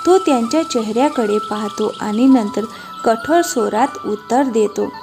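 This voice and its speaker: Higher-pitched woman narrating a cartoon story. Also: moderate pace. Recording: music behind the voice.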